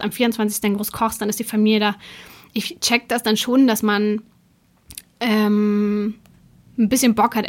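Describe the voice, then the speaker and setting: A young woman co-hosting a podcast, chatting casually and explaining things in an easygoing way. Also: mid-range voice. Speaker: podcast co-host.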